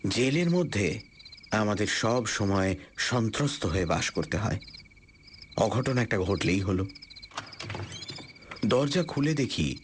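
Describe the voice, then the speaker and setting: A man narrating a suspense story for radio in the first person, deliberate and theatrical with dramatic pauses. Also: on the low side, lively intonation, slight background noise. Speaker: story narrator and lead character. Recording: suspense music behind the voice.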